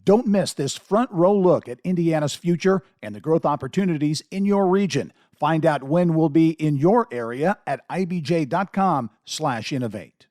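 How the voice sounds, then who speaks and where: A man's voice: a little high, expressive, normal speed, few pauses, a little mellow, no room sound, studio quality. An American man reading a scripted radio promo as an announcer.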